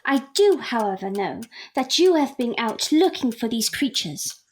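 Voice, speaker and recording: A woman's voice: a little high, big pitch swings, a little slow. A young woman playing a prim, perceptive Victorian daughter in an audio drama, formal and earnest. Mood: prim, teasing.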